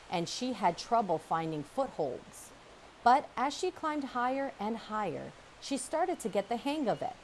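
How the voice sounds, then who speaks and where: Female storybook reader, lively pitch swings, deliberate dramatic pacing, faint hiss behind the voice. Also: poor audio. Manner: expressive, measured storytelling with dramatic pauses. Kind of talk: children's audiobook read-aloud, scripted narration.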